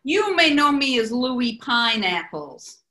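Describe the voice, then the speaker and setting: Woman as slick New club owner, high voice, slow pace, flattering gangster type, radio comedy, echoey. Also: exaggerated voice. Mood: smooth, self-important. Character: smooth-talking, flattering male New York bar owner and gangster type. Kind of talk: 1940s radio comedy acting.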